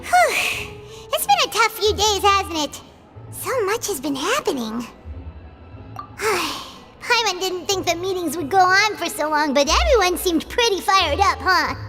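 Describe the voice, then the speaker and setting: A woman voicing a small, excitable female fairy companion in a video game, chattering about herself in the third person. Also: very high-pitched, a little slow, over music, exaggerated voice. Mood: chatty.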